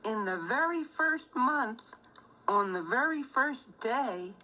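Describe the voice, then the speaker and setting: A woman reading a rhyming children's storybook aloud, phrasing it deliberately and clearly as scripted narration. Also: sits high, animated, pushed and bright, rough recording. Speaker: storybook reader.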